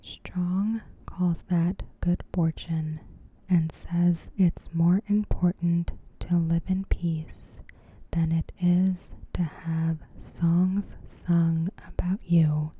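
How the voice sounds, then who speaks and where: A woman narrating an ASMR recap in a soft, breathy whisper, gentle and measured. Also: on the low side, little pitch movement, poor audio.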